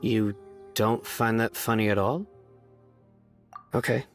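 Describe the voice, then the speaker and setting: A man voicing a serious, stoic young lawman in a video game, earnest and deadpan with a measured way of talking. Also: on the low side. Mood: dry, hopeful. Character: serious, stoic young male lawman who earnestly tells bad jokes. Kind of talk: video game character voice acting. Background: music.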